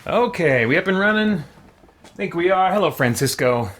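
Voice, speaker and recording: A man hosting a livestream in a casual, rambling, thoughtful way, often pausing mid-sentence. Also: high voice, animated, fairly bright tone, a little hiss or hum. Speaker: livestream host.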